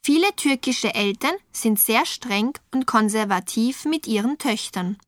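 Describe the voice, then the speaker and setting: A woman interviewing guests in a studio for a scripted language-course dialogue, asking her questions slowly and with careful enunciation. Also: fairly high pitch, animated, no echo.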